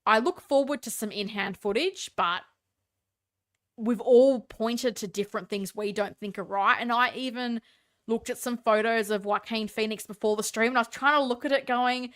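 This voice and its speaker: A woman's voice: on the high side, moderate pace, a bit bright. A woman with a stuffed-up, congested voice hosts a casual live stream, chatting opinionatedly and answering viewer comments.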